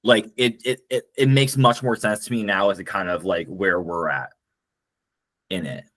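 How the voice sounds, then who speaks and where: A man's voice: fairly low pitch, moderate pace, fairly bright tone. A man co-hosting a casual podcast about language design, agreeing and offering tentative suggestions. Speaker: podcast co-host. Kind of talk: casual conlang design discussion.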